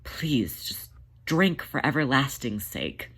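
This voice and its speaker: A man's voice: medium pitch, sing-song, slow pace, fairly bright tone, exaggerated voice. Casting: man as worried tomcat in a fan fiction tale, strained and caring toward his brother. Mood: strained pleading. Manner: strained, pleading.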